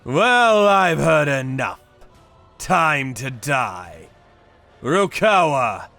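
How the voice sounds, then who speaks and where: Guy doing a grim vengeful torturer narrating a manga dub, slow and forceful, music underneath. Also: on the high side, very animated, creaky, heavily acted. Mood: cold menace. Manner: deliberate, menacing. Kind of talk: dramatic first-person manga dub narration.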